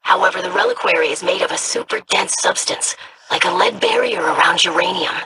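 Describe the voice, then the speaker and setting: Game role: bright-voiced young alien researcher, measured and precise. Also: female voice, fairly low pitch, very animated, vocal fry, slightly rough, exaggerated voice. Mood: thoughtful. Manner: measured, studious. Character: young alien scholar, formal and curious.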